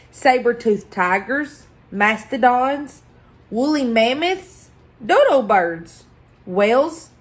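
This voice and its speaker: A woman reading a children's picture book aloud as a story-time host, deliberate and expressive, pausing for effect. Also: fairly high pitch. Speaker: library story-time reader.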